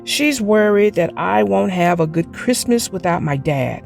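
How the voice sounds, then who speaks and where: A woman's voice: medium pitch, lively intonation, measured pace, gravelly, a little mellow, soft background music. A woman voicing a sleepy, weary young schoolboy in a children's storybook, talking in a tired, matter-of-fact way. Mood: weary explaining.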